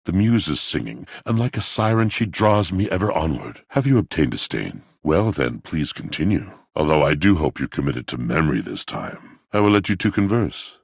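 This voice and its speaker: A melodramatic, lovelorn male washing machine from a video game with an extremely low, gravel-edged voice. He is brooding and courtly, delivering florid poetic lines with big, theatrical swoops in pitch. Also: sing-song, normal speed, hardly any pauses, no room sound, clean studio sound, full character voice. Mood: courtly, amorous. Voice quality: gravelly, husky. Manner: florid, grandiose, poetic diction.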